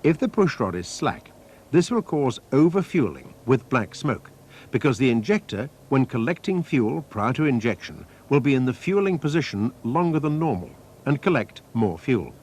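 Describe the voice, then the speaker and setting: A man reading a scripted technical training film narration in a measured, precise, instructional manner. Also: medium pitch, sing-song, darkish tone. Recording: noisy archive training-film soundtrack.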